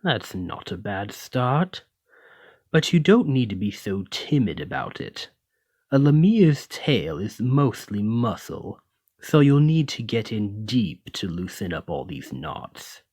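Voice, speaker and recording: A haughty serpent nobleman, acted by a man for an ASMR roleplay, speaks at a slow, lordly drawl in a fairly low voice. His pompous, refined phrasing rises and falls widely as he condescends to and teases his servant. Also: sing-song, a little mellow, slightly rough, dry recording, studio quality, exaggerated voice. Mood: teasing, amused. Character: haughty, teasing male lamia noble, half-serpent employer. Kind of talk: ASMR roleplay, scripted monologue to listener.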